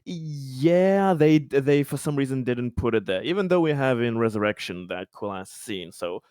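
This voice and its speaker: A man's voice: medium pitch, lively intonation, normal speed, a little mellow, clear, resonant tone, dry recording, studio quality. A man playing a tabletop game, casually explaining rules in an informal way.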